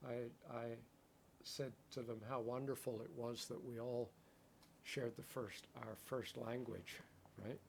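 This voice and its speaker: Slow, poetic male meditation teacher. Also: medium pitch, fairly even intonation, vocal fry, a little mellow, a little hiss or hum. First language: English. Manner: slow, poetic phrasing with frequent "right?" check-ins. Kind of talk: contemplative dharma talk.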